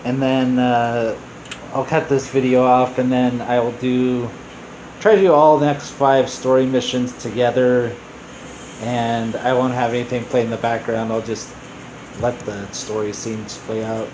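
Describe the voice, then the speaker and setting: A man with a medium-pitched voice talks casually over his gameplay, hosting in an off-the-cuff way. There is clear background noise, like a humming room. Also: level tone, measured pace, a little mellow, poor audio. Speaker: gaming video host.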